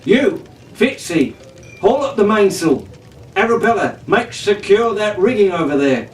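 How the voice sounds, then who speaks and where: A man's voice: high voice, expressive, a little slow, soft background music, voice pushed up. A man voicing a cocky, cheerful teenage pirate captain with a breezy, swaggering, commanding manner. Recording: roomy, distant, noisy home recording.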